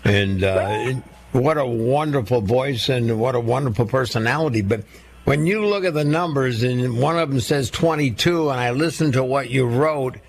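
A man's voice: mid-range voice, normal speed, slight background noise. A male radio host interviewing a guest in a friendly, folksy, joking way.

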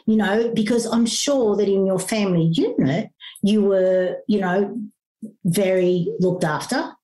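A woman's voice: medium pitch, measured pace, mellow, low-quality recording. A middle-aged woman, a grief coach talking through her process in an interview in an earnest, teacherly way, with frequent fillers and restarts. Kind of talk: conversational interview.

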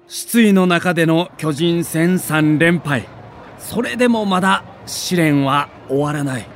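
Male documentary narrator, moderately high pitch, dramatic and emotive scripted read as a reporter, faint background noise.

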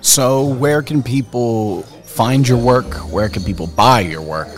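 A man's voice: medium pitch, takes it slowly, faint music behind. A man working as a correspondent, asking questions in a laid-back, joking way, sometimes tripping over his words. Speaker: correspondent interviewer. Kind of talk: casual interview questions.

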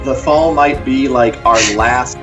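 A man's voice: medium pitch, a little slow, over music. A man co-hosting a casual pop culture news chat in a wry, jokey, chatty way, over a video call. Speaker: co-host of a pop culture live show. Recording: dull, lo-fi sound.

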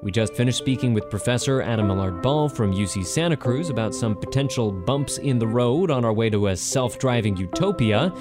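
A man's voice: medium pitch, lively intonation, fairly quick, few pauses, over music. A man hosting a radio interview show, speaking in a conversational, emphatic presenter style. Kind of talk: scripted radio introduction and interview.

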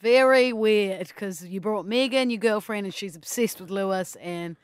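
A woman's voice: medium pitch, moderate pace, clear, resonant tone, no room sound, clean studio sound. A woman from New Zealand with a New Zealand accent, co-hosting a casual radio show in a bubbly, laughing way. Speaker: radio co-host.

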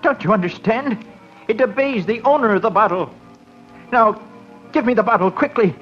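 A greedy, feverish schemer, played by a man with a very high, urgent voice. He is high-strung in the manner of 1940s radio horror, on a muffled old recording with music behind. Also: normal speed, natural voice. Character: feverish, greedy, panicky male collector after a magic bottle.

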